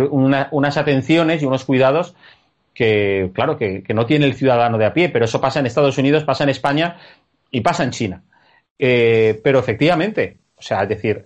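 A man with a Peninsular Spanish accent interviewing a guest, talking at length with many fillers. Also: medium pitch. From Spain. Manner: discursive, rambling, with fillers. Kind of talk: political interview.

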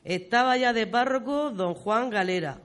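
A performer playing a talkative, fond old grandmother, reminiscing in folksy, expressive style in a community radio play. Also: mid-range voice, normal speed, lightly acted. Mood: lively, affectionate. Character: talkative, fond old village grandmother telling local history.

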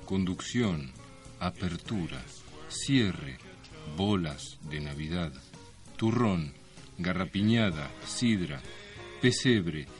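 A man's voice: sits low, lively intonation, slow, pauses often, soft background music. A man with an Argentine accent co-hosting a radio show, bantering in a tongue-in-cheek, mock-formal way. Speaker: radio co-host. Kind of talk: bantering comic radio talk with mock-solemn lines.

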